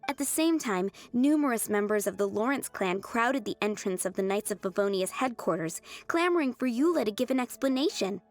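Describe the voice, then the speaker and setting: A woman playing a kind, earnest young restaurant server in a video game, gentle, measured and polite. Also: mid-range voice, animated, soft background music, lightly acted. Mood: earnest, sympathetic.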